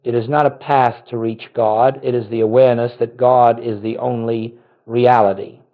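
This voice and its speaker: A man delivering a scripted spiritual sermon in a solemn, gentle manner, with short, deliberate phrases. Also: medium pitch, fairly even intonation, phone-quality sound. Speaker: spiritual teacher.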